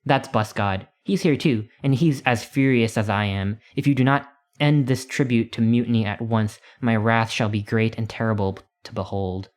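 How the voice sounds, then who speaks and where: A man playing a booming, wrathful God. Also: moderate pace, few pauses, lightly acted. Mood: stern.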